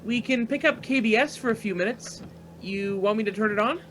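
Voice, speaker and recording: An actor playing an eager, carefree young student pilot in a naturalistic radio play, boyish, slangy and enthusiastic. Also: male voice, high-pitched, a little fast, fairly bright tone, slight background noise, poor audio. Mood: chatty, upbeat. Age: young adult.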